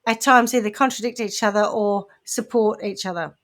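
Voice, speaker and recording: A woman's voice: medium pitch, fairly even intonation, normal speed, a bit bright. A woman with a New Zealand accent hosting a casual podcast, telling a true-crime story with playful asides. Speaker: podcast host.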